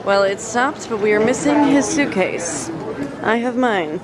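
A woman's voice: fairly high pitch, animated, unhurried, over a noisy crowd. A woman in her twenties with an American accent, chatting casually in youthful slang on a handheld camera as a travel vlogger. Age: 20s. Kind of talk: casual vlog chat. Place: airports and airplane cabin. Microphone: handheld camera at arm's length. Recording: loud airport and cabin noise.

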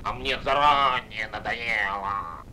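A man playing a lazy, sulky young toad in an animated fairy tale, with a bored drawl. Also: on the low side, slow pace. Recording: old cartoon soundtrack with music.

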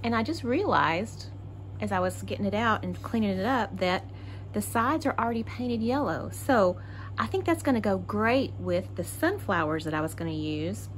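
A woman's voice: medium pitch, animated, normal speed, a little hiss or hum, rough recording. Chatty woman crafter thinking aloud. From Texas. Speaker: craft channel host. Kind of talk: casual crafting tutorial.

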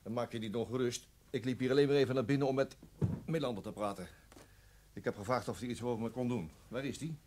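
An actor playing a dry, teasing, understated police detective in a radio drama. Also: male voice, mid-range voice, fast talker, darkish tone, slight background noise, close to an everyday voice. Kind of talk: Dutch radio drama acting.

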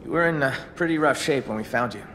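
An actor playing a wary but calm teenage leader of survivors in a video game, with an American accent and a casual, assured authority. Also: male voice, medium pitch, fast, a little hiss or hum, lightly acted. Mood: easygoing, apologetic. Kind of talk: video game drama acting. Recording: echoey hall reverb, music underneath. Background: music.

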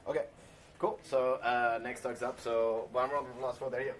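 A man's voice: mid-range voice, level tone, moderate pace. Host guy introducing next talk, far from mic, noisy room. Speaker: session host. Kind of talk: brief introduction. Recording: distant mic with background noise.

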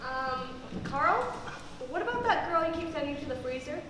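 A woman's voice: high-pitched, expressive, moderate pace. A woman acting a dry, sarcastic supermarket bagger in an amateur stage comedy. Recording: echoey hall, distant, very noisy.

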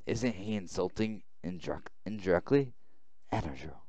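Man playing a low, subdued brooding outcast boy. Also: lively intonation, normal speed, dark tone, no room sound, highs cut off. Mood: bitter. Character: weak, brooding outcast boy, bitter and hesitant.

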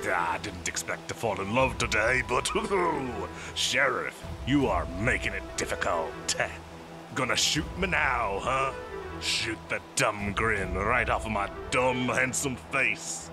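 A man's voice: fairly low pitch, takes it slowly, over music. A man playing a cocky, flirtatious pirate captain with a swaggering, laughing manner. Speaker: audio drama voice actor. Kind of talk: audio roleplay performance.

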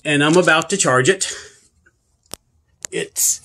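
A man's voice: on the high side, slow, sharp tone. Plain-spoken man reviewing a product. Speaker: product reviewer and channel host. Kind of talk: casual product review and demonstration. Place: carpeted room indoors.

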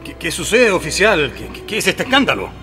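An actor playing an excitable, stammering Chilean shopkeeper in a theatrical radio play. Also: male voice, sits high, a little fast, over music. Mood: bewildered. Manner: stammering, repeating words. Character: excitable, gleeful married male shopkeeper, Don Serafín.

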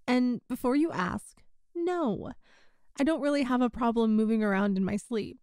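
A woman's voice: on the high side, normal speed, darkish tone, no echo, clean studio sound, lightly acted. A woman playing a teasing young woman, a friend's older sister, in a conversational audio roleplay. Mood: reassuring. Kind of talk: intimate ASMR-style audio roleplay, one side of a conversation.

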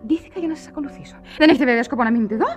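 A woman's voice: high-pitched, close to an everyday voice. A woman playing a headstrong, lovestruck young woman in a Greek radio play, impatient, insistent, bossy and pleading by turns. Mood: urgent, confessing. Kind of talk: Greek radio theatre acting. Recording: radio play with music behind.

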